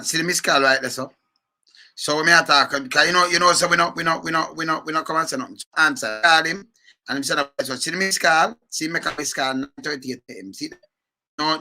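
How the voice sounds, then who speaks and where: A Jamaican man in patois giving heated, repetitive commentary as the channel host. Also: a little high. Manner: animated, repetitive, indignant. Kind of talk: ranting commentary.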